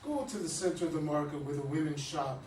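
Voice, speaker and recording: A man reads a cold, domineering militant commander who gives orders in a curt, preaching tone. His somewhat high voice comes from a distance on a stage, with a roomy echo and a faint hiss behind it. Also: fast, darkish tone, natural voice. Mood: commanding. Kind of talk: readers theatre play reading. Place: stage in a theatre.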